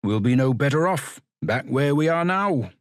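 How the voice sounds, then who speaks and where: A man's voice: medium pitch, lively intonation, moderate pace, no room sound, voice pushed up, lightly acted. Give me a man doing a plain-spoken young pauper, in a dry close recording. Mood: scheming. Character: streetwise young male pauper, practical.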